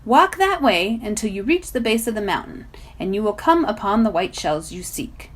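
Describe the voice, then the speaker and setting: Storybook role: ageless male mountain guardian, played by a woman, dignified, courteous, lively melodic phrasing. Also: medium pitch, moderate pace, lightly acted. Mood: helpful, formal.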